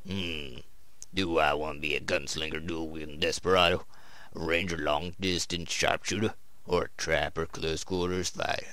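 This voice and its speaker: Gravel-voiced man muttering through a game. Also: fairly even intonation, normal speed, bright, forceful tone, no room sound. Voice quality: gravelly, very deep. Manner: mutters to himself while playing, sometimes reads aloud. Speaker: gameplay commentator. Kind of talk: casual let's play commentary. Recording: game music behind. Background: game music.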